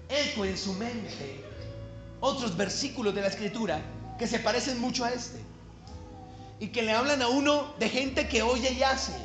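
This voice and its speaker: A man with a coastal Colombian accent preaching a sermon to a congregation, emphatic and slowing down to stress key phrases. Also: high voice, a bit bright. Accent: Colombian Caribbean coastal. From Caribbean coast of Colombia. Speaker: pastor. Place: church hall. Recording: echoey church hall.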